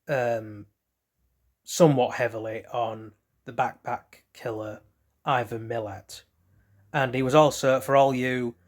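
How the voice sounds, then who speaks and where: A man hosting a casual trivia quiz, reading out questions and then rambling loosely through hesitant asides about the answers. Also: mid-range voice. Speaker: quiz host.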